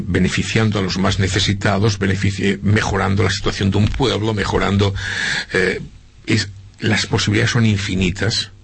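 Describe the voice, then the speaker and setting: A man's voice: low-pitched, gravelly, fairly bright tone, slightly rough. A middle-aged man from Spain with a slight Argentine lilt, answering interview questions earnestly with many hesitations and repeats. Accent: Spanish, with a slight Argentine lilt. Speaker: local politician interviewee. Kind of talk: interview conversation.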